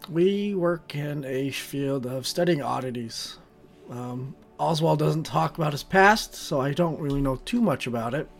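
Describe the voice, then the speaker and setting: A man speaks as a player in a tabletop fantasy game, with casual in-character dialogue. His voice is a bit higher than average for a man, and faint music plays behind it. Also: gravelly, a little mellow. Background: faint background music.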